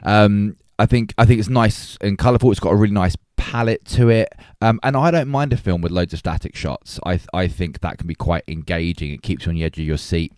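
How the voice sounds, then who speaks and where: A man's voice: fairly low pitch, fairly quick, few pauses, darkish tone, smooth, dry recording, studio quality. A man co-hosting a podcast, chatting casually about films and stressing his points by repeating words. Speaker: podcast co-host. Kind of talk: casual film discussion.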